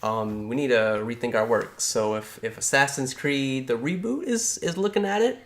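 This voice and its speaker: Male host, casual chat, bright tone, roomy echo, talks in restarts. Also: mid-range voice, normal speed. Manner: rambling, restarts often. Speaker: podcast host.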